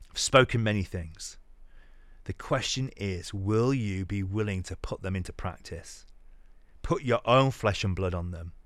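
Guy with a lowish voice reading a sermon dramatically, earnest and deliberate, recorded close and dry. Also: a bit bright, dry recording. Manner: earnest, deliberate, with pauses for emphasis. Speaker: podcast host reading scripture aloud.

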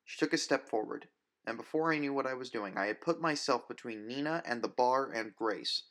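A man's voice: mid-range voice, brisk, a bit bright. A man reading first-person fiction as a dramatic, scripted audiobook narration.

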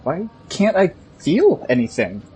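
A man's voice: fairly high pitch, huge pitch swings, measured pace, a little mellow, a little hiss or hum. A man playing a helpless, injured captive in an audio drama, frightened and pleading. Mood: panicked, pleading. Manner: panicked, pleading.

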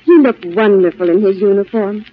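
An actress playing an earnest, concerned wartime housewife in a dramatised 1940s radio announcement. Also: female voice, mid-range voice, fairly even intonation, normal speed, lightly acted. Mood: wistful, earnest. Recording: muffled 1940s radio broadcast.

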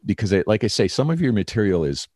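A man hosting an interview, with a strong baritone voice that has an edge to it, reflecting on his own experience. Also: big pitch swings, quick pace, a little mellow, dry recording, clean studio sound. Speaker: podcast host, singer. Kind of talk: casual interview conversation.

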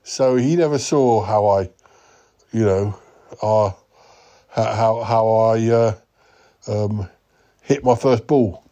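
A man voicing a clueless male yeti uncle who talks slowly and hesitantly. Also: mellow, dull audio. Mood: bemused. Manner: slow, hesitant, wandering speech with a higher voice.

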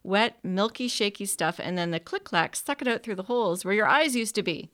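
A woman's voice: mid-range voice, brisk, fairly bright tone, pitched above the performer's own voice, lightly acted. A woman voicing a precocious, sober little boy who talks in an earnest, matter-of-fact way.

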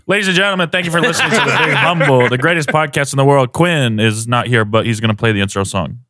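A man's voice: mid-range voice, fast, bright, forceful tone, dry recording, clean studio sound. A man co-hosting a casual podcast, teasing and ribbing his friends. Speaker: podcast co-host.

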